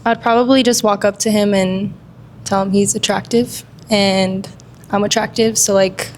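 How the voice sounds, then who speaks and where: A woman's voice: medium pitch, steady tone, moderate pace, a little hiss or hum. A young woman, a contestant on a blind-date show, answering in a confident, breezy way.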